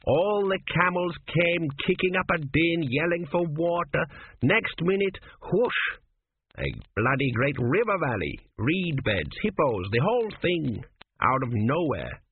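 A man's voice: on the high side, big pitch swings, measured pace, pitched above the performer's own voice. A man voicing a crude, cheeky old male ancestor who was a swindler, with a blunt, sneering manner. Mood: gleeful. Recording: tinny phone-like sound, faint hiss.

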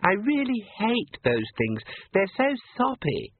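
A man's voice: high voice, huge pitch swings, a little slow, slight background noise, pitched above the performer's own voice, exaggerated voice. A male narrator voicing a whiny, spoiled young vampire daughter who complains about everything. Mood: whiny, petulant. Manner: whining, petulant complainer. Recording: tinny phone-like band.

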